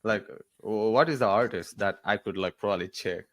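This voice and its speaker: Male podcast host, lowish voice, relaxed and chatty, dry close mic. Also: moderate pace, dry recording. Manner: chatty, asks follow-up questions. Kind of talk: casual podcast conversation.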